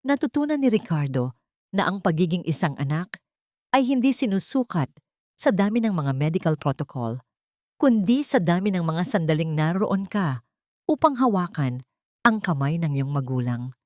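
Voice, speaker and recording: Woman narrating drama in a low, dry voice. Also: sing-song, moderate pace, a little mellow, no echo. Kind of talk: scripted dramatic story narration.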